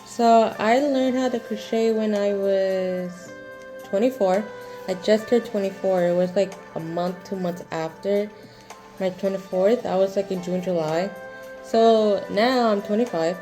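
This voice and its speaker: A woman in her twenties hosting a casual crochet Q&A vlog, talking in a halting, rambling way with lots of fillers and restarts. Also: medium pitch, dark tone, faint music behind, low-quality recording. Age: 20s. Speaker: crochet vlogger.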